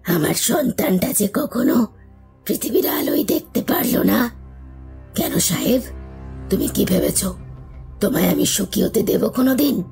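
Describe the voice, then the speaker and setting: A woman's voice: medium pitch, animated, unhurried, gravelly, long pauses, mellow, husky, soft background music, full character voice. A woman playing the vengeful ghost of a wronged mother in a horror audio story, with a pale, drained voice and a bitter, mocking manner. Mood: bitter reproach. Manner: mocking, reproachful.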